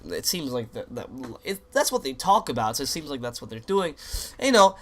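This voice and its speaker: A man's voice: on the high side, very animated, brisk. A young man who is a little sick, rambling in crude, joking gaming commentary with many restarts. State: sick, voice sounds off. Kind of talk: casual rambling commentary over gameplay.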